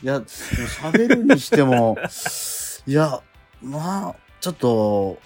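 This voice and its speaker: A middle-aged man hosting a radio show, chatting in a relaxed, rambling, self-deprecating way. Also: mid-range voice, slow, over music. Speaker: radio host. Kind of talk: casual radio chat.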